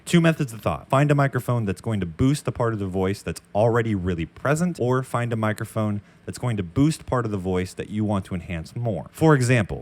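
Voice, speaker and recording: Low-voiced young man explaining audio gear. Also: darkish tone. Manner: explanatory, chatty, frank. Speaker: audio YouTuber. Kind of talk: casual Q and A vlog. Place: temporary makeshift room near a construction site. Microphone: dynamic mic up close.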